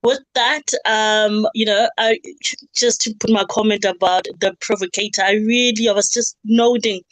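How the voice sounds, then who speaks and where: A woman introducing herself politely on an online panel, pausing to find her words. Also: medium pitch, fairly even intonation, dry recording, poor audio. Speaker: panelist, youth forum member.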